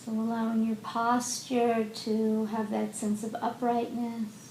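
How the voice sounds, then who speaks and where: A woman leading a guided meditation as a teacher, speaking gently and unhurriedly with long pauses in an indoor room. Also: monotone. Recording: roomy echo, faint rumbling background noise.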